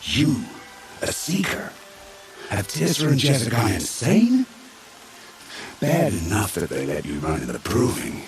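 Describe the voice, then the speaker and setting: War chief: very low voice, widely swinging delivery, scornful and domineering, video game drama. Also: male voice, huge pitch swings, measured pace, pauses often, a crowd in the background, exaggerated voice. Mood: scornful outrage.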